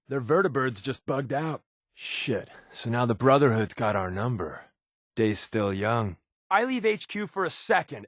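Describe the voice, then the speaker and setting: An actor playing a sly, irreverent covert resistance agent in a video game, with an American accent and a wry, casual, sardonic way of talking. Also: male voice, mid-range voice, fairly bright tone, no room sound, clean studio sound. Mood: tense. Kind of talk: video game character dialogue, conversational.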